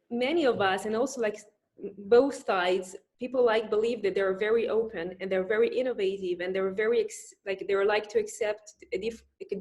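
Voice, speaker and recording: Animated Israeli woman telling stories. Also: on the high side. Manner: animated storyteller with many restarts. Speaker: guest physician. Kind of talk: webinar interview storytelling.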